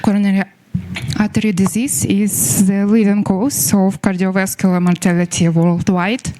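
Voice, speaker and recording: Halting woman presenter. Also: mid-range voice, little pitch movement, dark tone, slight background noise. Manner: halting, self-correcting delivery. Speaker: young medical researcher presenting at a contest. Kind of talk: prepared scientific presentation.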